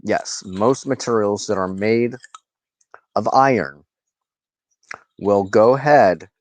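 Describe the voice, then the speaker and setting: Low-voiced man, slow and halting. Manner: halting and reflective, with long pauses mid-sentence. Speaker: host of a personal spiritual channel, wand crafter. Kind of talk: rambling informal monologue to viewers.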